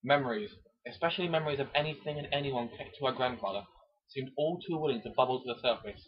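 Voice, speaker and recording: A man with a husky voice doing an amateur mock-dramatic reading of a romance novel, with chatty asides. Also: mid-range voice, fast. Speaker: amateur narrator. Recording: dull lo-fi home recording.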